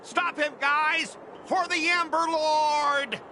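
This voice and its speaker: A man's voice: extremely high pitch, fairly even intonation, takes it slowly, sharp tone, slight background noise, heavily acted. A man voicing an arrogant, hot-tempered company transport manager in a video game, pompous and condescending. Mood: furious, shouting. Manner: condescending, blustering.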